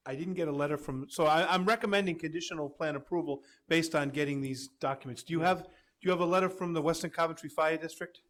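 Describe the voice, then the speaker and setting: A man's voice: on the high side, fairly bright tone. A man giving a careful, procedural staff recommendation at a town meeting.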